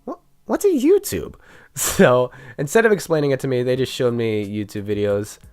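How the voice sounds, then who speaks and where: A man's voice: medium pitch, sing-song, moderate pace, a little mellow, clear, resonant tone. A young man with a nasal, stuffy voice from being sick, telling a joking, chatty story about his school days with small stumbles. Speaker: YouTuber. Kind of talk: casual storytime narration.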